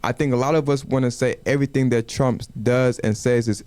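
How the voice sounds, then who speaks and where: A man whose first language is Wolof, talking in a casual studio panel in a measured way and asking rhetorical questions. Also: mid-range voice, fairly even intonation, a little mellow, smooth, no echo. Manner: measured, asks rhetorical questions, says "you know what I'm saying". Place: community media studio.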